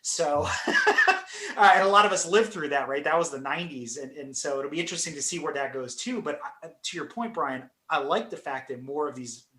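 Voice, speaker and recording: A man's voice: fairly high pitch, brisk, slight background noise. Chatty man in a roomy echo. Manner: animated storyteller, laughs often. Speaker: podcast host. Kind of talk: casual chat.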